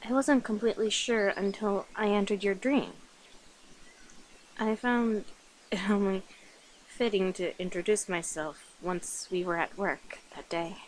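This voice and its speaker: A woman playing a flirty, affectionate, slightly nervous vampire in an intimate ASMR roleplay, speaking softly, coyly and hesitantly to the listener. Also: medium pitch, fairly even intonation, measured pace, slight background noise, close to an everyday voice, low-quality recording. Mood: explaining softly. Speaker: voice actor in an audio roleplay.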